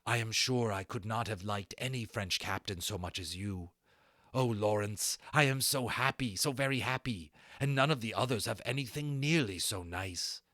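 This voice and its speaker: A man's voice: lowish voice, normal speed, pushed and bright, no room sound, clean studio sound. A man voicing a young male dragon in an audiobook, affectionate and eager, with earnest, formal old-fashioned phrasing. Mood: blissful.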